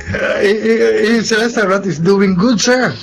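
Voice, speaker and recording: An eager, respectful young man in a radio drama. His voice sits slightly high, and he talks earnestly and deferentially, with faint music underneath. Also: unhurried, vocal fry. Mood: deferential, nervous. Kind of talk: Tagalog radio drama acting.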